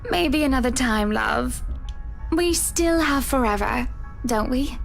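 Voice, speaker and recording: A woman's voice: sits high, animated, slow pace, gravelly, full character voice. A woman playing a wealthy, breezy, imperious socialite wife in a visual novel game, with a polished, airy delivery. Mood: affectionate. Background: background music.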